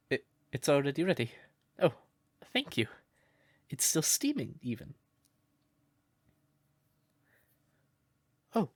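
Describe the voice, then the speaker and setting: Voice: medium pitch, no room sound, full character voice. A performer voicing a shy, smitten crow turned human in an intimate comfort roleplay, hesitant and stammering, naively earnest.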